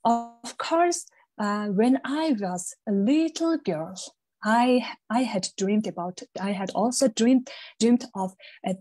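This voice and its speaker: A woman from Mongolia gives an impromptu speech, halting and repeating words, often adding tag questions. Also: on the high side, a little mellow, faint music behind. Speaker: club member giving an impromptu speech.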